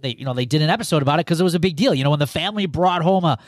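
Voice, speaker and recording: Podcast host, male, a bit higher voice, loose rambling delivery, dry room. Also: animated, racing, no echo. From United States. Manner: rambling, many restarts and "you know". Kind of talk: casual podcast chat.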